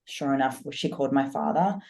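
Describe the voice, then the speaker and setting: A woman's voice: very deep voice, brisk, gravelly, mellow. A woman, a business coach, telling her life story as a guest in a podcast interview, earnest and reflective. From Australia. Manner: earnest, reflective, talks in long flowing stories.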